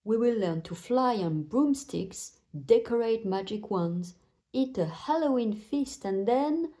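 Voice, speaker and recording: A woman reading a children's storybook, voicing a kindly, encouraging female gazelle who teaches playgroup with clear, teacherly instructions. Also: a little high, expressive, takes it slowly, warm tone. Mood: excited announcing. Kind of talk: children's storybook read-aloud.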